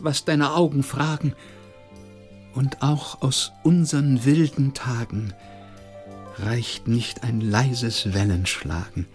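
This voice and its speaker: An actor giving a wistful, solemn and measured recitation of a melancholy love poem. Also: male voice, medium pitch, close to an everyday voice. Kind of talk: poetry recitation.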